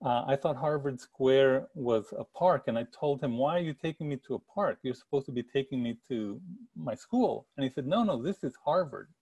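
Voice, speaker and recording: Middle-aged man telling stories, mellow voice. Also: mid-range voice, lively intonation, normal speed, breathy edge, lo-fi sound. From El Paso area, Texas. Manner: earnest, gestures a lot, frequent restarts. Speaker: author giving a book talk. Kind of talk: personal storytelling. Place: attic room at home. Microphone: video call with earbuds.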